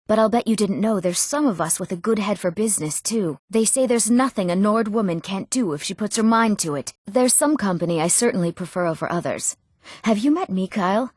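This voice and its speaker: A woman's voice: medium pitch, darkish tone, no room sound, clean studio sound, lightly acted. A young woman voicing an eager, proud young Nord townswoman in scripted fantasy game dialogue, with an earnest delivery. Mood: friendly. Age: young adult. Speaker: video game voice actor.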